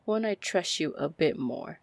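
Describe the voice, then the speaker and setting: A woman playing a confident, teasing female pirate captain in an ASMR fantasy roleplay, flirtatious and commanding, with a husky, gravelly voice. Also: low voice, lively intonation, no room sound, exaggerated voice. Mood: firm, teasing. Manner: slow, drawling, flirtatious terms of endearment.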